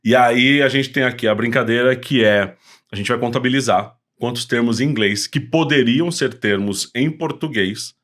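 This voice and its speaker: A man's voice: medium pitch, moderate pace, fairly bright tone. A Brazilian man hosting a casual podcast chat in a playful, joking manner. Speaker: podcast host.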